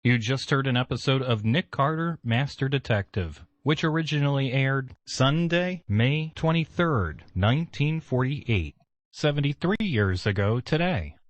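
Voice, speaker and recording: Lively man announcing, dry studio sound. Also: mid-range voice, a little slow, no room sound. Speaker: station presenter. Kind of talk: scripted radio continuity announcement.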